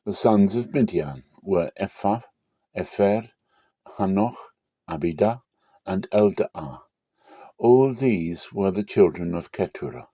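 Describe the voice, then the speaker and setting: A man giving a dramatic scripture reading in measured, short phrases. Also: sits low, expressive, warm tone, slightly rough, no room sound. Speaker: Bible reader.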